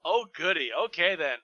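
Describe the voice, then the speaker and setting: A man's voice: high-pitched, pushed and bright, dry recording, highs cut off. A man reading aloud and joking casually in a sarcastic way. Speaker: reader on a comedy show.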